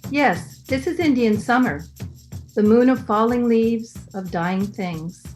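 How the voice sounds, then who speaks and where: A woman's voice: a little high, takes it slowly, a little mellow, soft background music. A woman playing an earnest, superstitious wife, theatrical in the manner of 1930s radio melodrama. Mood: earnest, solemn.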